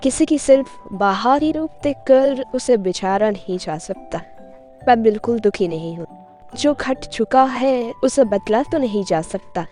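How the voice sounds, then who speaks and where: Woman as a slow, high-voiced gentle princess. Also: expressive, faint music behind. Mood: composed, accepting. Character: gentle, dignified young eldest princess.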